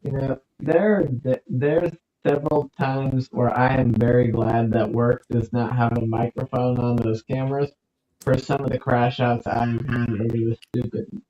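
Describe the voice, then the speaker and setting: A man's voice: medium pitch, steady tone, measured pace, warm tone, lo-fi sound, slight background noise. A man, co-host, making dry, brief remarks in casual chat.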